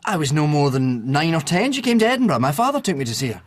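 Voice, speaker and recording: An actor playing an earnest, starstruck opera lover in a British period radio drama, reverent and quick to correct. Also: male voice, on the high side, very animated. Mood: awed, earnest.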